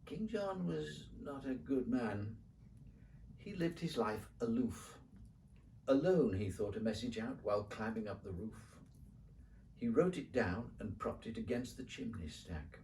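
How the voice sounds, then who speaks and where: A man recites narrative verse for children in a theatrical, rhythmic way. His pitch rises and falls widely. He sounds slightly away from the microphone, with faint noise behind him. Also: a little slow, gravelly, recorded from a distance, rough recording. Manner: expressive, rhythmic, theatrical phrasing. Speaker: poetry reader.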